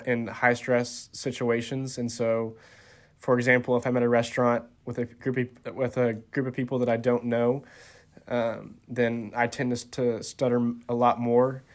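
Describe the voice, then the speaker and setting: A college-aged man being interviewed on a podcast, soft-spoken and hesitant, with many ums and restarts. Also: mid-range voice. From Texas. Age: 20s. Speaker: college golfer, podcast guest. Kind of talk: casual interview talk.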